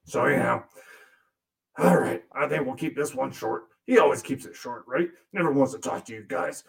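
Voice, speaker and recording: A foul-mouthed demon puppet grumbles and sneers in a gravelly, fry-laced voice, as a man puts him on. He sits at a middling pitch and rambles with crude sarcasm, griping about the man who works him. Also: normal speed, lightly acted. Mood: sarcastic. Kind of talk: comic monologue in character.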